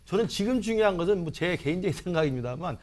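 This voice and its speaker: A man's voice: sits high, animated, very fast. A man giving political commentary as a party politician, arguing in long run-on sentences. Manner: long run-on argument, piling up clauses.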